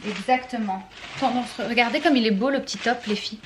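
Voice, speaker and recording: A woman in her twenties chatting casually to camera as a vlogger, animated and teasing. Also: on the high side, a little fast. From Belgium. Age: 20s. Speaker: vlogger presenting a clothing haul. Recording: roomy echo, faint background noise.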